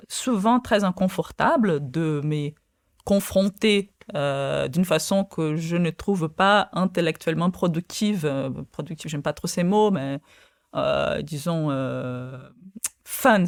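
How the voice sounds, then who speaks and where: A woman's voice: fairly low pitch, no room sound, clean studio sound. Hesitant woman. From Brazil. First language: Portuguese. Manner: hesitant, many fillers and restarts. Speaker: guest, quantum physicist. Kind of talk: podcast interview.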